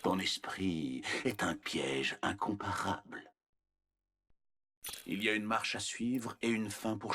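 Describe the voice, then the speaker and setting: A very low-pitched cold scientist villain for a video game. His voice is husky and he speaks slowly, with clinical condescension and quiet menace. The delivery swings widely in pitch while staying arrogant and measured. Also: exaggerated voice. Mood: grim satisfaction. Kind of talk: scripted video game character voice lines.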